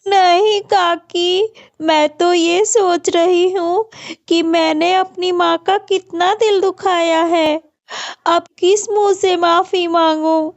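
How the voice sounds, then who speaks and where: A woman's voice: extremely high pitch, fairly even intonation, slow, pitched above the performer's own voice, full character voice. A woman voicing a remorseful young daughter bird in a cartoon, apologetic and emotional. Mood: ashamed. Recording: dull, muffled lo-fi audio.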